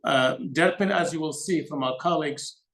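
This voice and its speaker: A man, an organization's executive chairperson, giving opening remarks at an online meeting, halting often with fillers. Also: fairly high pitch, a bit bright.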